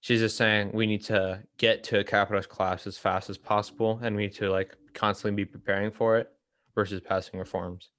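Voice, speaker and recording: Low, flat-voiced young man. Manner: hesitant, halting delivery. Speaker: co-host of a reading discussion. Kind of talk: casual discussion.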